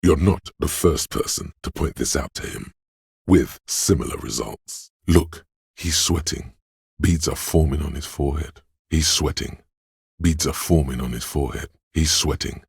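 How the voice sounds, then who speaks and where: Game's inner sense of sight, male, calm, watchful, matter-of-fact, extremely deep, dry studio sound. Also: creaky, breathy edge, dry recording, exaggerated voice. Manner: measured, observant, matter-of-fact describing. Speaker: voice actor. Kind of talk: scripted video game voice line.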